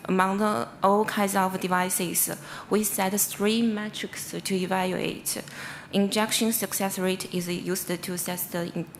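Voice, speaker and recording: A woman with a Chinese accent delivers an academic talk at a medium pitch. Her English is halting, with stumbles and restarts as she explains like a researcher at a conference. Faint noise runs through the recording. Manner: halting, non-fluent English with stumbles and self-corrections. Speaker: researcher presenting a paper. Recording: clearly noisy presentation recording.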